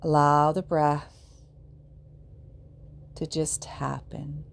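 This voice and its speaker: A woman leading a guided yoga nidra meditation in a soothing, measured way, leaving long pauses between short phrases. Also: low voice, level tone, creaky.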